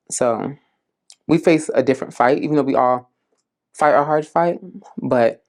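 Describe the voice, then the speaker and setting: A woman from South Florida, a community case manager, giving unhurried, earnest interview answers, often pausing with "um" and "like". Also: rumbling low, darkish tone. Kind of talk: casual interview answers to prompts.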